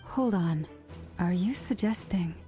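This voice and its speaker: A woman voicing a soft-spoken, thoughtful, mysterious young woman in a video game, with careful, formal phrasing. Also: on the low side, expressive, slow, faint music behind. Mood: pensive. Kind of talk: video game cutscene voice acting.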